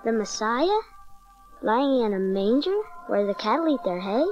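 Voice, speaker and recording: Music plays clearly behind an old cassette drama. A female actor voices a shepherd's child with a high voice, wide-eyed and full of wonder. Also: animated, moderate pace, a little mellow, lo-fi sound, lightly acted. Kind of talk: dramatized audio Bible acting.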